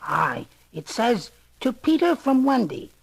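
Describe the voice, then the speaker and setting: A gravelly, high-pitched pirate sidekick. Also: male voice, big pitch swings, measured pace, exaggerated voice. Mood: obedient. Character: cowardly, subservient pirate first mate. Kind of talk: storybook record acting.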